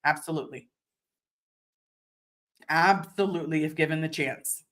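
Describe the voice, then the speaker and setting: A woman with a deep voice, slightly hoarse today, hosting a casual livestream and answering viewer chat in a rambling way, often restarting and repeating words. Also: moderate pace, a bit bright.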